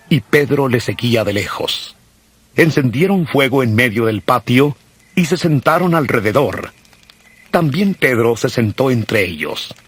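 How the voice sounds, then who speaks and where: A man narrating scripture from a script in a solemn, measured manner. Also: medium pitch, a bit bright, husky. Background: music.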